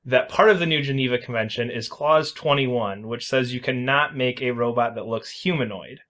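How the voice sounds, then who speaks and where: Male podcast host, mid-pitched, chatty and informal, talks through explanations with casual emphasis. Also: moderate pace, a bit bright. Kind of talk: casual video game review chat.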